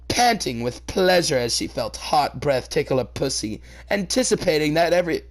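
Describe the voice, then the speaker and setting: A man doing a comedic dramatic reading of fan fiction, theatrical and profane, breaking into incredulous high-pitched outbursts.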